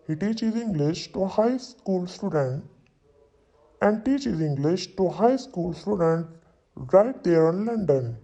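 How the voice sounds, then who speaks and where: A man in his twenties voicing a young London solicitor who introduces himself, saying each sentence twice slowly for learners to repeat. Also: high voice, mellow, full character voice, rough recording. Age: 20s. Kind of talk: slow repeat-after-me drill reading.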